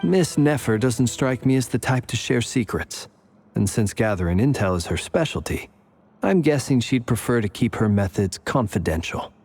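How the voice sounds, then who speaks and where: A smooth-talking game gentleman in a medium register. He is formal, courteous and slyly persuasive, with faint music behind. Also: medium pitch, animated, moderate pace. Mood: wry, courteous. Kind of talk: video game character acting.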